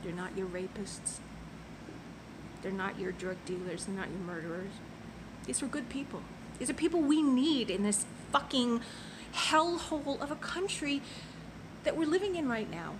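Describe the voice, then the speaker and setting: A woman telling a personal story in an earnest, impassioned way, swinging from soft to loud. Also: fairly high pitch, normal speed, noisy background. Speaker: passport office clerk. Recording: loud music under the voice.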